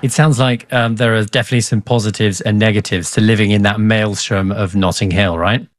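A man's voice: on the low side, fairly even intonation, fairly quick, no room sound. A man working as a news anchor, introducing a report from the studio.

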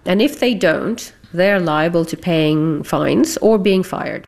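A woman academic explains things fluently and calmly in a lowish voice. Her delivery is steady and articulate. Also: slow. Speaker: anthropologist interviewee.

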